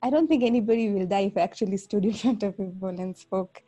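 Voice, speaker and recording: A woman's voice: mid-range voice, fast talker, warm tone, breathy edge. A woman from Kenya who is a pastor, telling her story in a reflective, casual podcast chat.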